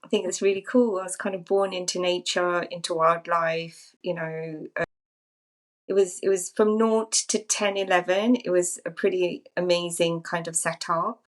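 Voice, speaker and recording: A woman in her fifties, from Zambia, tells her life story as a podcast guest. Her voice sits fairly low, and she talks in a musing, reflective way, often circling back over her own phrases. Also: steady tone, normal speed. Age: 50s. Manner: thoughtful, musing, repeats phrases.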